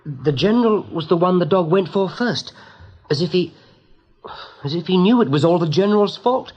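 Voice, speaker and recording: Husky, hesitant rabbit. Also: male voice, high-pitched, animated, normal speed. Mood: uneasy wonder. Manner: hesitant, wondering. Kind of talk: radio drama acting. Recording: muffled 1980s radio recording.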